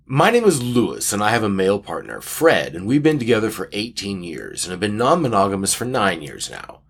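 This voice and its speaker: A man with a somewhat low, raspy voice co-hosts a casual podcast. His pitch swings widely as he speaks, with a wry, emphatic and measured delivery. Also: wildly swooping pitch. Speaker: podcast co-host. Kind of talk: casual podcast chat and reading of a listener letter.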